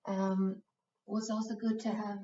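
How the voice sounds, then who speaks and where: A Swedish-accented woman guiding a beginner yoga class, her words unhurried and broken by pauses. She sounds set back from the mic in a roomy, reverberant space. The recording is dull and muffled, with a faint hiss underneath. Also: mid-range voice, little pitch movement, dark tone, far from the mic. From Sweden. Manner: slow, patient, with many hesitations. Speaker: yoga instructor. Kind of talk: guided yoga class instruction.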